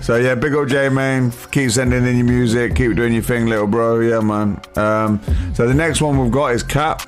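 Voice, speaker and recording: A man hosting a local radio show in a laid-back, chatty way, giving slang shout-outs to artists. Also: medium pitch, fairly even intonation, moderate pace, faint music behind. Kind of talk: casual radio presenting.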